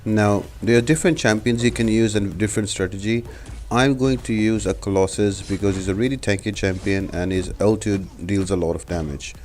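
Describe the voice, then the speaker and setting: Low-voiced man explaining gameplay over music. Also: brisk, a little mellow. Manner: explanatory, step-by-step instruction. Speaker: game guide host. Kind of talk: gameplay tutorial voice-over commentary. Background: background music.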